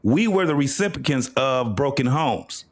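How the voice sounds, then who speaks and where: A man's voice: mid-range voice, lively intonation, unhurried. A man in his fifties talking in a casual, heated panel discussion, explaining at length and repeating his points emphatically. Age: 50s.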